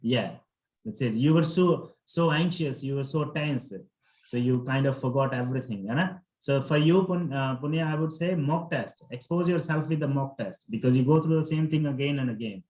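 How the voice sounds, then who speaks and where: A man's voice: mid-range voice, moderate pace, a little mellow. A man coaching students for an English test, instructively correcting pronunciation and repeating words for emphasis. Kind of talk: interactive lesson, correcting pronunciation. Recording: echoey room sound.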